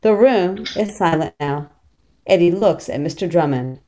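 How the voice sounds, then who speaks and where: A woman's voice: lowish voice, expressive, unhurried, noisy background. A middle-aged woman reading stage directions as scripted narration over a video call. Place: small plain room at home, on video call.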